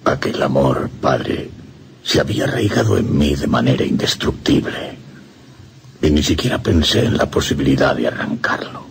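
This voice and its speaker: A man's voice: a bass voice, animated, normal speed, gravelly, a little hiss or hum, exaggerated voice. A man with a Castilian Spanish accent playing a lovesick, tormented young priest, confessing in an anguished radio drama monologue. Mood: haunted, confessing.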